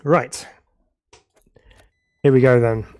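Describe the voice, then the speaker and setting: A man's voice: medium pitch, steady tone, slow, darkish tone, no echo. A man, stuffed up from a cold, giving chatty live commentary while driving a racing sim and reading out viewer chat. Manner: chatty and self-laughing, reads viewer chat aloud. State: congested from a cold.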